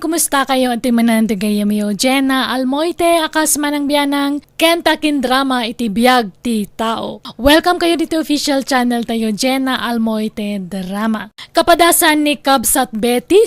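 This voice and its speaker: A woman's voice: high-pitched, dry recording. A woman host with an Ilocano accent gives a scripted radio drama introduction. Her voice is recorded dry and close.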